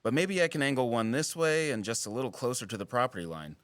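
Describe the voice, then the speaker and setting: An actor playing a wry, guarded wildlife biologist in an audio drama, with teasing, dry sarcasm and an earnest streak. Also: male voice, mid-range voice, big pitch swings, quick pace, a bit bright, no room sound. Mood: earnest, conspiratorial. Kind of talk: naturalistic audio drama acting.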